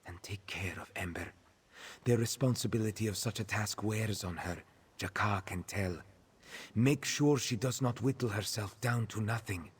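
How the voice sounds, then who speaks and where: A man voicing a gentle cat-folk former captive in a video game, speaking in a measured, formal way and referring to himself in the third person. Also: fairly low pitch, husky. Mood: quietly concerned.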